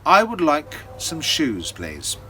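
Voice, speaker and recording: A man's voice: a little high, huge pitch swings, slow pace, sharp tone, slight background noise, exaggerated voice. A man voicing a sly, polite and mischievous devil in a theatrical, teasing storybook manner. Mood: prim, dainty. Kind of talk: storybook character voice in oral storytelling.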